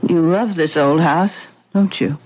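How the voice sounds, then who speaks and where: Hesitant young wife, slow and halting; dull old radio sound. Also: female voice, deep voice, a little mellow. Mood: flustered. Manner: hesitant, flustered. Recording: muffled old radio recording, faint hiss.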